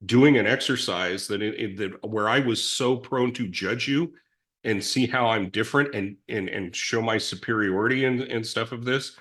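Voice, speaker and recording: A middle-aged man in recovery telling his story in a reflective, rambling way with many restarts and self-deprecating asides. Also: fairly low pitch. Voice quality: big loud voice. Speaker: guest in recovery.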